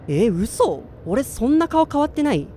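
A man's voice: extremely high pitch, very animated, a little slow, warm tone, a little hiss or hum, full character voice. An actor playing a listless, self-doubting man in his twenties in a radio drama, plain-spoken in narration and dialogue. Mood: casual, surprised. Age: 20s.

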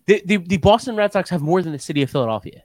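Young american guy chatting sports on a podcast, voice a bit high, repeats stuff for emphasis, really dry close audio. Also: lively intonation, very fast, darkish tone, dry recording. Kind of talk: casual sports chat.

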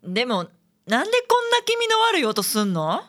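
A woman's voice: on the high side, wildly swooping pitch, normal speed, a bit bright. A woman playing a practical, breezy detective's assistant in a radio drama, with a Kansai accent. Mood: inquiring. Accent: Kansai Japanese.

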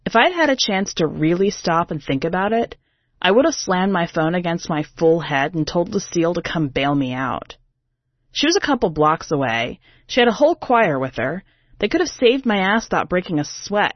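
A woman's voice: lowish voice, no echo, highs cut off. A woman reading a first-person story as a brash, sardonic singer, in a slangy, emphatic, conversational way. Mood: brash, boastful. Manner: sassy, slangy, irreverent, emphatic. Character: brash, sardonic urban woman singer, self-declared diva, telling her tale.

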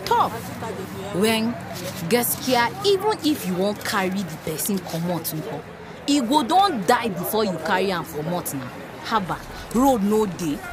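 A woman's voice: medium pitch, very animated, a little slow. A woman playing an outspoken, devout market woman in a Pidgin radio drama, with a northern Nigerian accent and an emphatic, exclaiming delivery. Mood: lamenting. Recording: busy crowd and music behind.

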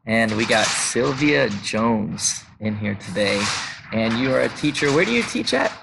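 Gravelly enthusiastic male interviewer. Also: mid-range voice, lively intonation, unhurried, heavy background noise. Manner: enthusiastic, laughs often, rambling questions. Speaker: podcast host, interviewer. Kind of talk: casual interview.

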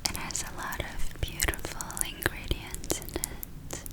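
A woman whispering gently and soothingly in an ASMR roleplay as a kindly witch giving a spa treatment, in a soft, breathy voice. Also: low-quality recording. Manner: gentle, soothing, slow with long pauses. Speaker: ASMR performer.

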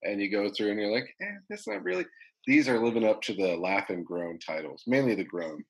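A man's voice: lowish voice, animated, fairly quick, vocal fry, low-quality recording. A man with a cold, sounding stuffed up, hosting a casual podcast and laughing often as he runs a joke game. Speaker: podcast host.

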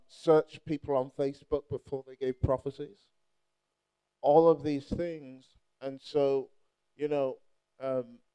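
A man's voice: medium pitch, mellow, clean tone, rough recording. A young adult man from Nigeria preaching a playful, charismatic sermon and rousing the crowd with call-and-response.

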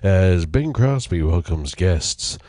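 A man's voice: low-pitched, expressive, takes it slowly, warm tone, dry recording, clean studio sound. A man hosting a radio show, introducing it in a jovial, folksy, mock-formal way to his listeners. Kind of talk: folksy scripted show introduction over music.

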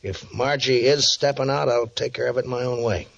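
An actor playing a brash, boastful, flirtatious private eye with slangy, cocksure talk in 1950s radio drama style. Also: male voice, medium pitch, steady tone, moderate pace, gravelly, a bit bright. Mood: confiding. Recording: dull, muffled old radio recording.

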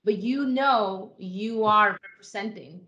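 A woman's voice: medium pitch, takes it slowly, bright, forceful tone, muffled recording, slight background noise. A woman from Ecuador, a guest in an interview, answering in an earnest, reflective way with frequent restarts.

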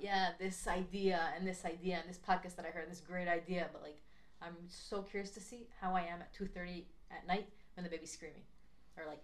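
A young woman with a notably low voice talks earnestly in relaxed podcast chat. She thinks out loud and leans on "like" as she looks for her words. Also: a little hiss or hum. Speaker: podcast co-host. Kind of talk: casual chat.

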